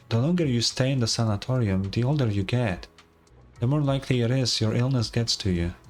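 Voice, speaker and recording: A man's voice: medium pitch, close to an everyday voice. A man acts out a shy, sickly boy in a story game. He is insecure and easily flustered, stumbling hesitantly over words, with music playing behind him. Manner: hesitant, stammering.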